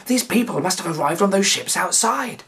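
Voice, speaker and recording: A male narrator voicing a nervous young sidekick, a little raised in pitch. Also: expressive, moderate pace, bright, forceful tone, full character voice. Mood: worried.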